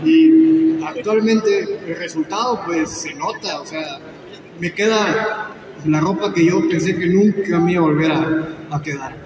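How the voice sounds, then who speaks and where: A man's voice: sits high, lively intonation, a little slow. Cheerful man testifying before a crowd. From Campeche, Mexico. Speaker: audience member giving a testimonial. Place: large hall. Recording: echoey hall from far off, crowd and noise.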